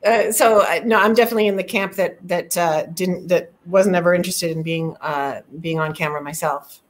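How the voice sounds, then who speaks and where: A middle-aged woman puppeteer speaking on a video call, her voice slightly low. She talks deliberately and often stops to restart her sentences. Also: steady tone. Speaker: guest puppeteer. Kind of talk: casual video call conversation. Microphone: video call from home.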